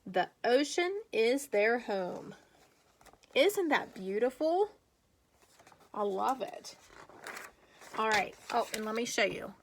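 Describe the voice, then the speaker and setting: A woman with a fairly high voice talks as a teacher to young children. She takes her time, very slow and deliberate, with emphatic read-aloud phrasing. Chatty asides and encouraging questions are part of her delivery. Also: very animated, slight background noise.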